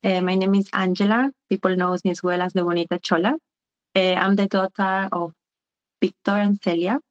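A woman's voice: mid-range voice, level tone, moderate pace, clean tone. A woman, speaking reflectively as an interview guest with many "eh" fillers. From Argentina. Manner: searching and reflective, with frequent "eh" fillers. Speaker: guest, community organizer. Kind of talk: conversational interview. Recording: dull lo-fi sound.

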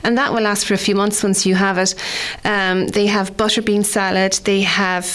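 A woman's voice: medium pitch, flat delivery, moderate pace, fairly bright tone. An Irish woman in her forties, chatting casually on radio about food, rambling with frequent restarts. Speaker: food contributor.

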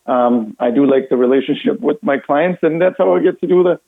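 Austrian guy calling in on the phone, tinny line, kinda high voice, easygoing. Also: brisk. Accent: Austrian German. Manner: earnest, relaxed, many fillers. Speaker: insurance broker, radio guest. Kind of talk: phone-in radio interview.

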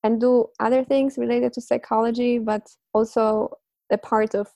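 Woman, native Polish speaker, thirties, level even delivery, interview guest, thinking aloud. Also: fairly high pitch, normal speed, vocal fry, dry recording. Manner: reflective, thinking aloud with fillers and soft laughs. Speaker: interview guest, language teacher and psychologist. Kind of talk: casual interview answers.

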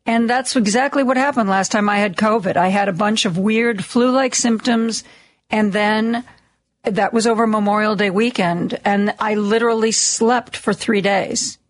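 A woman hosting a radio talk show in a studio, with a slightly raspy, hoarse voice and a chatty, self-deprecating way of talking full of ums and restarts. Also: mid-range voice, normal speed. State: a little hoarse, lingering cough. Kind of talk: casual on-air monologue. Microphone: studio microphone.

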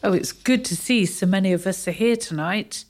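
A woman's voice: medium pitch, normal speed, darkish tone, a little hiss or hum, close to an everyday voice. A woman acting in a radio play as a reflective townswoman who dwells on change. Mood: companionable. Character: reflective townswoman, resigned and pessimistic about change. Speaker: community theatre actor.

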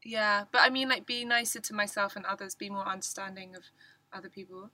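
Young woman, bright tense tone, dry close sound, giggly casual chat. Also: medium pitch, flat delivery, brisk, dry recording. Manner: giggly, self-mocking, trails off with ums. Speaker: podcast co-host.

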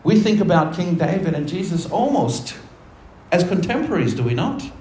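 A man with a high voice preaches a sermon in an echoey church room, with a faint hum of ambience underneath. He poses rhetorical questions to the congregation and alternates between brisk asides and slow, dropped-down phrases for emphasis. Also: very animated, a little mellow. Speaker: pastor.

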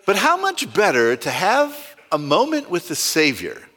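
A man's voice: high-pitched, huge pitch swings, unhurried, bright, forceful tone. A male pastor preaching a sermon in a conversational way, asking the congregation rhetorical questions.

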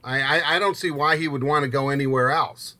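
A man co-hosting a casual sports discussion, often repeating words haltingly as he begins a phrase. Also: mid-range voice, fairly even intonation, sharp tone. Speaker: sports podcast co-host.

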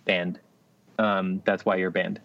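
A deep-voiced young man chats as a podcast co-host. He tells stories hesitantly, with understated dry humour, over slightly muffled audio. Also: little pitch movement, takes it slowly, gravelly, fairly bright tone, dry recording. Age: young adult. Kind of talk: casual chat and anecdote.

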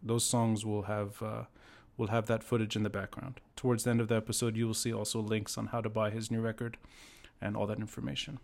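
A man from Lebanon with a fairly low voice hosts a podcast interview. He talks in a thoughtful, conversational way, building his thoughts piece by piece. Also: little pitch movement, a little fast, creaky, a little mellow. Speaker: podcast host.

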